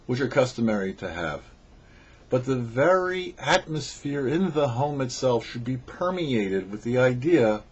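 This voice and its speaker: A man who is a rabbi, giving a short weekly Torah teaching with measured, slow emphasis on key phrases. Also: fairly low pitch, highs cut off, slight background noise. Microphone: studio microphone.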